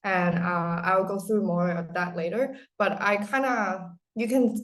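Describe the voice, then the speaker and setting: A young woman in her twenties with a slightly low-pitched voice, presenting to a group in a loose, chatty way. She talks as a studio founder and fills her sentences with "like" and "you know". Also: poor audio. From Hong Kong. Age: 20s. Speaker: studio founder giving a talk. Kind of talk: informal presentation.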